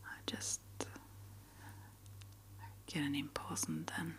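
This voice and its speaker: A woman speaking in a gentle, hesitant, rambling way, as an ASMR creator chatting to her viewers. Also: deep voice, level tone, slow. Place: small upstairs apartment.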